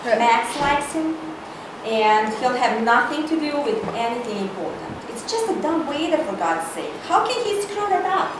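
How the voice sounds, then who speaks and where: A woman's voice: high voice, very animated, moderate pace. A woman cold reading the part of a domineering, haughty corporate older sister in a screenplay, bossy and dryly sarcastic. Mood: scornful. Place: indoor reading hall, recorded from far off. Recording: echoey room, distant mic, loud noise.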